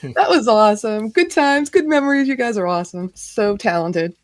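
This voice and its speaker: A woman co-hosts a relaxed online show, talking fast and laughing often. Her voice swings widely up and down. The sound is dry and close, and she sounds a little under the weather. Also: a little high, dry recording. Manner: giggly, chatty, quoting people in voices. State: coughing, hoarse head. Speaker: co-host. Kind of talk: casual chat on a video call show.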